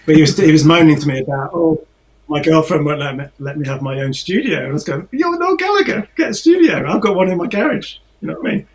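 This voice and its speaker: A man's voice: a little high, lively intonation, quick pace. A man, a musician guest, chatting cheerfully and telling a story over a video call. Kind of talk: casual chat and short song.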